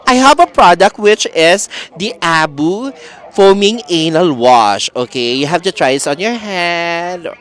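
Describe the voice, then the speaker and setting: Filipino street host, super animated and swoopy, giggly n teasing, cheeky product promo. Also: medium pitch, a little slow. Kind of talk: playful, bawdy street interview.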